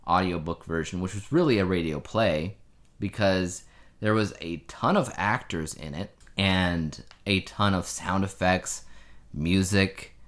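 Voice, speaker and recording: A man's voice: deep voice, fairly bright tone. A man co-hosting a casual chat show, explaining his opinions in a conversational way. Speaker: podcast co-host.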